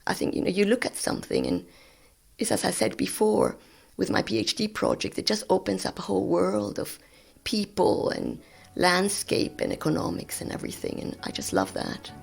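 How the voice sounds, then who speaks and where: Gravelly older woman with Spanish roots. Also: medium pitch, huge pitch swings, normal speed. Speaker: guest scientist. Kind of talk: conversational storytelling.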